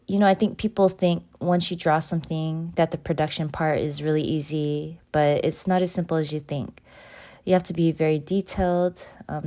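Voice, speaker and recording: A woman's voice: deep voice, warm tone. A woman in her thirties from Seattle, a graphic designer casually talking through her design work in a screen walkthrough, chatty and friendly, with lots of "um" and "you know". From Seattle, USA.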